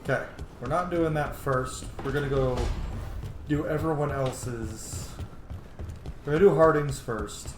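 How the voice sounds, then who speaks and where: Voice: mid-range voice, a little slow, noisy background. A streamer giving casual gameplay commentary, with gushing, sweary asides to the game. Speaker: streamer playing a roleplay game. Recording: roomy echo, game music behind. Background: video game music.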